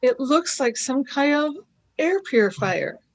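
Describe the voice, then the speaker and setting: A woman's voice: high-pitched, lively intonation, measured pace, vocal fry, full character voice. A woman playing an eager, nervous young female companion in an amateur radio play. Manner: wondering, anxious questions.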